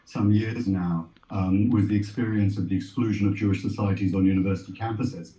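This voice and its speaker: A middle-aged man giving earnest, measured and formal answers as a panel guest, heard as TV sound replayed through speakers. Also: low voice, steady tone, dark tone, reverberant, poor audio. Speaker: rabbi on a discussion panel.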